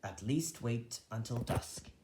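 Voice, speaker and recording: Steady, hopeful rabbit leader male reader, gentle and unhurried, storybook tale in an echoey room. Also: medium pitch, heavy background noise. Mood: cautious.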